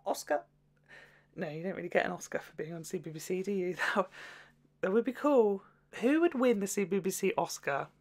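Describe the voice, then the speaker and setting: A woman's voice: medium pitch, expressive, normal speed, pauses often, darkish tone. A woman hosting a casual, chatty video review, gushing and jokey with sweary asides. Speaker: video host.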